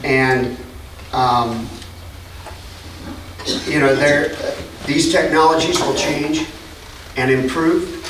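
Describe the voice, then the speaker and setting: A man's voice: on the high side, fairly even intonation, drawn-out words, long pauses, background noise. A man giving a wry, story-telling public comment at a county hearing. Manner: storytelling, wry, reads a quoted letter aloud. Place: county meeting room. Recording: echoey room, distant mic.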